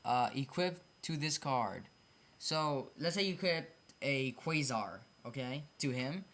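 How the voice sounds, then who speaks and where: A hobbyist video creator with a sharp, bright voice explains card designs in a casual, unscripted way. They stumble over phrasing, circle back to repeat points and apologize for their own slips. The pitch sits in a middle range. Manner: repetitive, self-correcting, stumbles over phrasing; apologetic about own mistakes.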